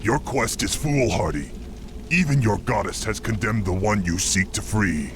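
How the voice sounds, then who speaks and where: A forbidding guardian acted by a man with a low voice over clear background noise. He speaks formally and sternly, condemning intruders in a fantasy game. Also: expressive, normal speed, natural voice. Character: stern, condemning male guardian of a sacred prison. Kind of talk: video game cutscene acting.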